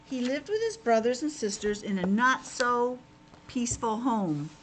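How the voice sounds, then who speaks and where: A woman with a fairly high voice reads to young children like a teacher. She explains simply and adds chatty asides, over clear background noise. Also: big pitch swings, a little slow, poor audio.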